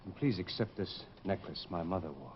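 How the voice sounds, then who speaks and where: An actor playing a courtly, insistent suitor with a hidden vengeful streak in an old radio horror drama. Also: male voice, deep voice, lively intonation, brisk. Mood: pleading. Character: suave, intense English suitor hiding a vengeful nature. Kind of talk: 1940s radio horror acting. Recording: dull, noisy old radio broadcast.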